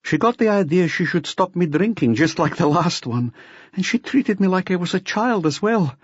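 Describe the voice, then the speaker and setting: A man's voice: sits high, animated, moderate pace, slightly rough, no room sound, lo-fi sound, voice pushed up. A man voicing a bitter, self-loathing drunkard who confesses with agitated self-pity in an audiobook. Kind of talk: audiobook character acting.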